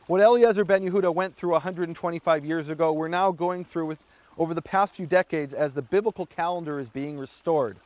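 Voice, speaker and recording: A man teaching on scripture and history in a storytelling style, raising his voice to act out what the people in his story said. His voice sits fairly high, and the sound is close and dry with no room echo. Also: a little fast. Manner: animated storyteller acting out shouted quotes. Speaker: Bible teacher.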